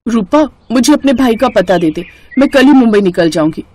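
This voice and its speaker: A woman's voice: on the high side, expressive, moderate pace, a little mellow. A woman voicing a defiant, ambitious young bride in a Hindi cartoon story, dramatic and complaining. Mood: determined.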